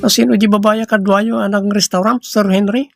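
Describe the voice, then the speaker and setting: A man's voice: sits high, steady tone, moderate pace, vocal fry, faint music behind. A man with a Filipino accent playing a nosy, deferential family driver in a radio comedy drama. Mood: nosy, teasing.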